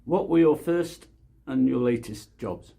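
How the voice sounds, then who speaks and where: A man's voice: medium pitch, unhurried, gravelly, mellow, breathy edge. A male interviewer asking short, plain questions.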